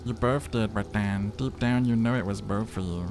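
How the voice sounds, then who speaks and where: A man's voice: fairly low pitch, moderate pace, pitched above the performer's own voice, natural voice. Man voicing a fatalistic talking necktie over music. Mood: mournful, blunt. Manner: blunt, fatalistic, theatrical. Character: talking necktie, fatalistic, boozy male confidant. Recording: music behind the voice.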